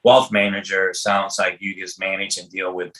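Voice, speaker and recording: A man's voice: low voice, little pitch movement, normal speed, pushed and bright. A man in his fifties hosting a podcast, chatting informally and loosely with slang and repeated words. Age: 50s. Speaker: podcast host. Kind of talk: casual, rambling interview chat.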